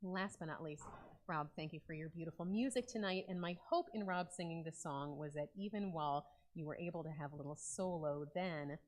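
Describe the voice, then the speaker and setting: A woman's voice: low voice, a little fast. A woman clergy member giving informal remarks to her congregation during a Shabbat service, talking conversationally with frequent ums. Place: synagogue sanctuary.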